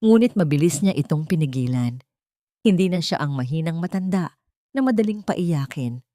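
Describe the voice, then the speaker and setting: A woman reading a dramatic scripted audio story in a solemn, deliberate way. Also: low-pitched, lively intonation, mellow, no echo, clean studio sound. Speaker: story narrator.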